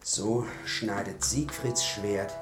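A man's voice: lowish voice, steady tone, takes it slowly, far from the mic. An actor playing a brash, fearless young male hero, declaiming cockily in a fantasy radio drama. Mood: exultant. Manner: declamatory, cocky, exultant. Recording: roomy, off-mic, music underneath.

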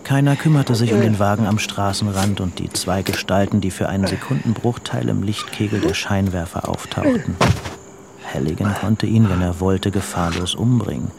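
Grave narrator, low pitch, gravelly fry, measured, literary, radio drama. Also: male voice, background noise. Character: grave, detached male narrator of a crime story.

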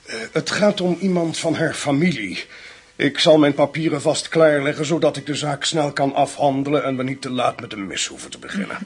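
An actor in a radio play playing a calculating schemer who poses as a polite, hesitant priest. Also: male voice, a little high, a little fast, slightly rough. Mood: polite, smooth.

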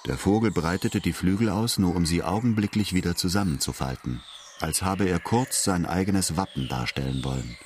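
A man's voice: deep voice, normal speed, darkish tone, a little hiss or hum. A man narrating a radio drama in a scripted, literary storytelling style. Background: music.

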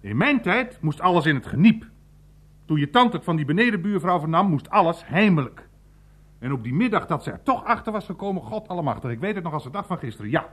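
An actor playing a teasing, worldly old uncle in a radio play, blustering and mocking in drawn-out, emphatic phrases. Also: male voice, fairly high pitch, sing-song, fairly bright tone. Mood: boastful storytelling. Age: older.

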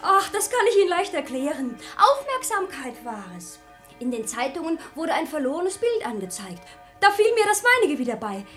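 High, animated, pert young woman. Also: moderate pace, faint music behind. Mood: evasive, glib. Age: young adult. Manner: lively, glib, coyly evasive. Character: quick-witted, pert young daughter of the mayor. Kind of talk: theatrical radio comedy acting.

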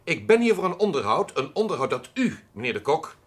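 An actor playing a pompous, prickly accountant with sinister plans in a radio drama, precise and theatrically formal, in a husky voice. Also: male voice, fairly high pitch, very animated, a little fast, a little hiss or hum. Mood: indignant. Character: pompous, prickly accountant coolly proposing a perfect murder. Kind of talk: Dutch radio drama acting.

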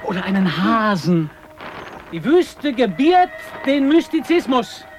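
A man's voice: very high-pitched, very animated, a little slow, faint music behind, full character voice. A man playing a lone, brooding polar adventurer in a radio drama, intense and increasingly anxious. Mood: brooding, weary. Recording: very noisy recording.

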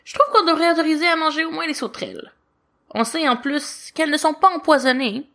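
A woman's voice: high-pitched, expressive, fairly quick, sharp tone, pitched above the performer's own voice, full character voice. A woman voicing a young boy angel, earnest and argumentative, in a storybook reading. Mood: objecting, eager.

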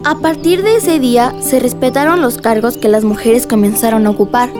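Woman as an eager high-voiced young girl. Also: moderate pace, over music, lightly acted. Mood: earnest. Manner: inquisitive, enthusiastic. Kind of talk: radio drama dialogue.

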